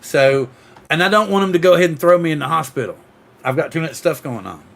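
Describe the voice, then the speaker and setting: A man's voice: fairly high pitch, expressive, fast talker, gravelly, fairly bright tone. A middle-aged man hosting a casual podcast chat, rambling and jokey, laughing often. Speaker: podcast host. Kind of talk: casual chat on a livestream panel.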